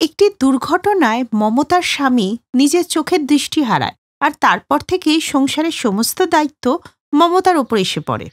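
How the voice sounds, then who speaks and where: Female narrator for cartoon stories: high voice, very animated scripted delivery. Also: normal speed, no room sound, clean studio sound.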